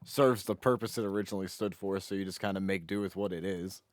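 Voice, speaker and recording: An American man with a slightly low voice talks as a podcast co-host. His delivery is casual and bantering. The sound is very dry, with no room echo. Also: steady tone, quick pace.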